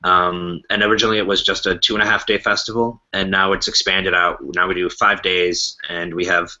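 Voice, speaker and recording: A man's voice: deep voice, little pitch movement, fairly quick, sharp tone, lo-fi sound. A man explaining things casually in conversation as a podcast guest. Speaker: podcast guest, improv festival organiser.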